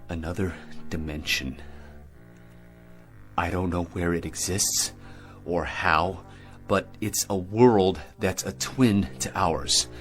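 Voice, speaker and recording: An actor playing a shaken male astronaut major in a radio drama, speaking in a halting, earnest way. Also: low-pitched, fairly bright tone. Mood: hushed, shaken.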